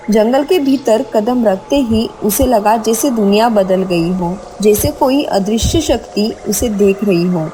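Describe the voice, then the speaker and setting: A woman's voice: medium pitch, warm tone, a little hiss or hum, rough recording. A woman narrating a scripted horror story with dramatic storytelling delivery.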